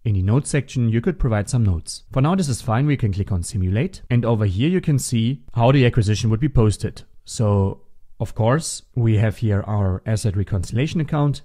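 Guy doing a screencast tutorial, lowish mellow voice, walks thru it step by step. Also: animated, moderate pace. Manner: methodical, instructional. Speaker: software tutorial instructor. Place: voice-over for a screen recording.

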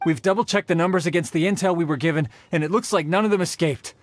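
A man's voice: on the high side, quick pace, dry recording, close to an everyday voice. A man voicing a courteous, upright soldier in an anime-style video game, speaking formally and earnestly. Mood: grateful.

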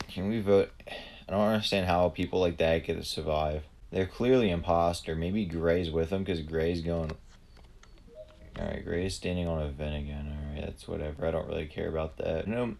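A man's voice: low voice, creaky, darkish tone, slight background noise. A man giving live gameplay commentary, blunt and profane, ranting at the other players. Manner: profane, blunt, quick to rant. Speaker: gamer streamer.

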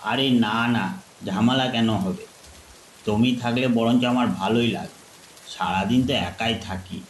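A gentle older village man, played by a male storyteller speaks in a mid-pitched, hospitable and coaxing way. The sound is echoey, with faint rain noise behind it. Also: a little slow, natural voice. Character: kindly insistent older village man living alone.

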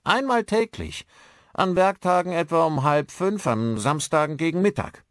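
A friendly village postman acted out by a male narrator chats in a raised, lilting voice. He is brisk and helpful, like a character in an audiobook mystery. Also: on the high side, expressive, no room sound, voice pushed up, natural voice. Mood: cheerful, helpful.

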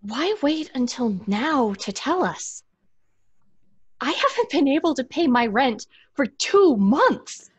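A woman playing an anxious, scolding but level-headed young daughter, urgent and sometimes hushed, in a horror audio drama. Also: high voice, sing-song, takes it slowly, slightly rough, dry recording, highs cut off, exaggerated voice. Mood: disbelieving. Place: home, on a video call.

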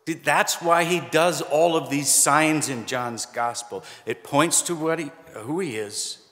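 Middle-aged pastor preaching in a reverberant church. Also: male voice, medium pitch. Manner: conversational preaching with gentle humor, pauses for emphasis. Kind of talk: sermon. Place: church sanctuary, standing at a pulpit. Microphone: pulpit microphone, far camera.

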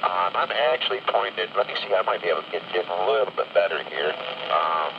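A man's voice: deep voice, lively intonation, fairly quick, gravelly. A man chatting hesitantly over CB radio, repeating words as he goes. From Michigan, United States. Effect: heard through CB radio. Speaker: CB radio operator. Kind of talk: casual radio chat. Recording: muffled CB transmission with loud static.

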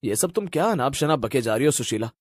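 A man voicing a deceitful, dismissive young husband in a cartoon story, explaining himself in smooth talk. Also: mid-range voice, animated, fast talker, no room sound, clean studio sound, natural voice. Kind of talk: animated story dialogue.

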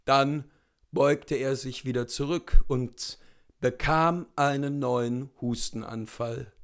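A man in his thirties reading literary fiction aloud as an expressive narrator. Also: medium pitch, slow pace. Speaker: literature reader. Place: small indoor room with bookshelves.

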